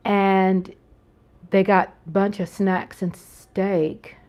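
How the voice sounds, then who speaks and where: A woman's voice: medium pitch, flat delivery, very slow, a little mellow, highs cut off. An American woman hosting a casual live-stream chat from home, talking in an earnest, rambling way with frequent restarts. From United States. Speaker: channel host.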